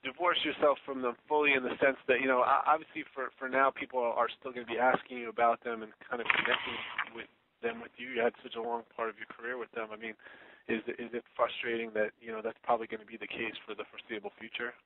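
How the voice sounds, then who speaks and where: A man's voice: medium pitch, quick pace, slight background noise. A male reporter asking questions on a press call over a thin phone line, stumbling and rambling a little.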